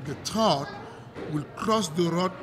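A man's voice: fairly high pitch, takes it slowly, over music. A middle-aged man from Cameroon with a French accent, a coach talking in a casual interview, often repeating words as he searches for English. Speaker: MMA coach. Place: hotel room, indoors. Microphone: desk mic on stand.

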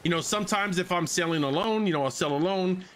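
A man's voice: high-pitched, quick pace, a little hiss or hum. A man in his thirties live-streaming a game on a headset mic, chatting casually, repeating his phrases and swearing. He says he is losing his voice and keeps coughing. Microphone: headset microphone.

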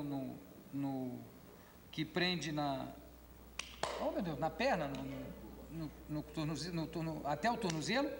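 An older man hosting a late-night talk show in a large studio, asking quick questions and teasing his guest. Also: sits high, fairly bright tone. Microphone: desk microphone. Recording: studio hall reverb, faint noise.

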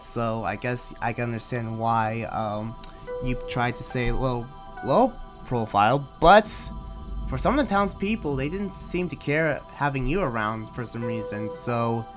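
A man playing a weary, conflicted demon slayer in an improvised game roleplay, speaking haltingly and pensively. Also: mid-range voice, natural voice. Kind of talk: improvised Minecraft anime roleplay. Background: music.

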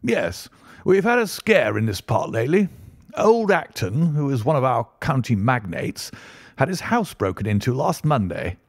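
A man voicing a hearty, unflappable country colonel with bluff, old-fashioned gentlemanly talk in an audiobook story. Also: mid-range voice, big pitch swings, normal speed, gravelly, no room sound, clean studio sound, voice pushed up. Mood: breezy, dismissive. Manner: bluff, old-fashioned upper-class phrasing.

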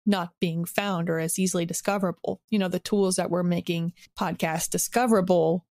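Low-pitched woman in casual, reflective conversation. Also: steady tone, fairly quick, darkish tone, no echo, studio quality. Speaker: interview guest, audio drama creator. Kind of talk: casual, reflective interview talk.

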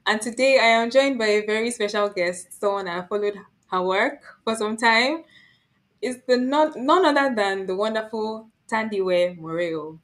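A woman's voice: fairly high pitch, moderate pace. A young woman hosting an interview podcast, introducing her guest and asking questions in a friendly, upbeat way, close to a studio mic. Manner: friendly, upbeat, with brief encouraging backchannels. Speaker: podcast host. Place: home room with art on the wall. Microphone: large studio microphone with headphones.

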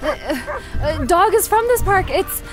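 A woman's voice: very high-pitched, lively intonation, unhurried, soft background music. A woman acting an anxious, pleading young woman who leads her friends in an audio drama. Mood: panicked, pleading.

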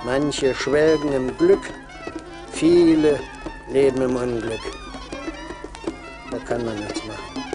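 A man acting as a poor porter in a radio play, lamenting his lot with humble resignation. He speaks slowly at a middling pitch, with clear music behind him. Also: fairly even intonation, natural voice. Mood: lamenting, resigned. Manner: lamenting, deferential.

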